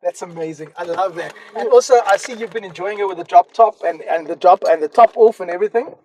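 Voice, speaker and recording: Host, energetic interviewer, light hiss behind. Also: mid-range voice. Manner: enthusiastic, quick interjections. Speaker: host of a car show. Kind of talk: interview hosting and scripted intro.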